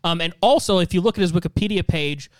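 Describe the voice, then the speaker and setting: Male panelist, high clear voice, casual conversational interjections. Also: fast, no room sound. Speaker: podcast panelist. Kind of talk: casual chat.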